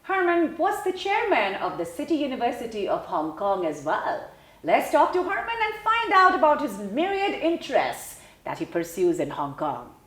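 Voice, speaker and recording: A woman hosts an interview show with a polished presenter's delivery. Her voice rises and falls with lively melody, and the room around her echoes noticeably. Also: sits high, moderate pace. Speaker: show host. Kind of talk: scripted introduction and interviewing.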